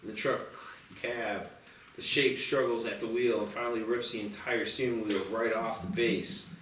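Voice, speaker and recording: A man's voice: on the low side, fairly even intonation, normal speed, a bit bright, far from the mic, slight background noise. Male screenplay narrator in a roomy space. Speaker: stage-direction reader. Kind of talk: scripted screenplay narration at a table read. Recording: roomy, off-mic, music behind.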